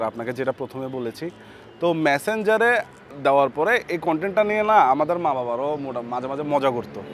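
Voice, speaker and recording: চট্টগ্রামের টানে কথা বলা তরুণ, গলা একটু চড়া. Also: male voice, fairly high pitch, slight background noise. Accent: Chattogram. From Chattogram, Bangladesh. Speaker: comedy content creator, interview guest. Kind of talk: casual interview answers.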